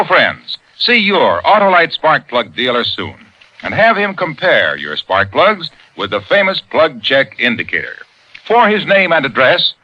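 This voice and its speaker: An American man working as a commercial announcer, delivering a 1950s radio sales pitch. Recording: dull vintage radio recording.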